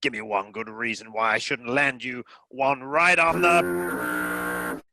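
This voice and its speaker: A man's voice: mid-range voice, big pitch swings, slow, gravelly, noisy background, full character voice. Man doing a huffy blustering passenger, radio comedy, up and down voice, takes his time. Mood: indignant. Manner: blustering, exclamatory. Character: blustering, indignant male cruise passenger. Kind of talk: comic radio acting.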